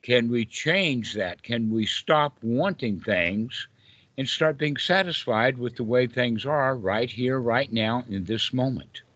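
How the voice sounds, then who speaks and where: A man's voice: medium pitch, lively intonation, slow, fairly bright tone, dry recording. Southern US man teaching, dull dry call audio. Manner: emphatic, repeats rhetorical questions. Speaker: meditation teacher. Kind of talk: informal dhamma teaching in a group call. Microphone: online group call.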